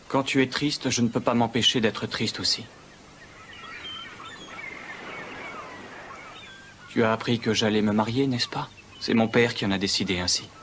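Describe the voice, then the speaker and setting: Man acting a gentle young prince, noisy backdrop. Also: medium pitch, natural voice. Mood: concerned, regretful. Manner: gentle, courteous, sincere. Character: kind young prince, gentle, caring and dutiful. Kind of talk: dubbed animated fairy-tale acting.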